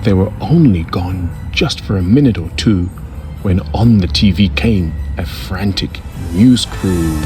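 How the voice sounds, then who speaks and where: A man hosting a storytime, narrating a children's picture book with deliberate, expressive delivery. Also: low voice. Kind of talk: children's read-aloud narration. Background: music.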